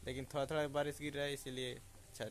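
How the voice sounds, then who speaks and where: A young man in his twenties talks easily to his phone camera, pointing things out to viewers. His voice sits at an ordinary middle pitch. The phone audio is noisy, with outdoor sounds and animals heard behind him. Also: little pitch movement, fast talker. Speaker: village vlogger. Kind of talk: casual daily vlog narration. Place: village courtyard outdoors, rain, goats nearby. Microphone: phone selfie at arm's length.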